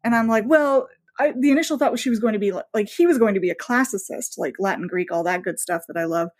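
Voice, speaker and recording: A woman's voice: mid-range voice, fast, darkish tone. Effusive woman chatting in an interview. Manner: effusive, enthusiastic rambling, voices own thoughts as asides. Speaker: guest, romance novelist. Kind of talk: casual interview chat.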